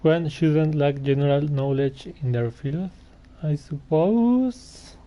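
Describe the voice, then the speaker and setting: A man giving casual gameplay commentary in a halting, hesitant way on a muffled microphone. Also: fairly high pitch, warm tone. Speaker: gaming streamer. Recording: muffled microphone with faint background noise.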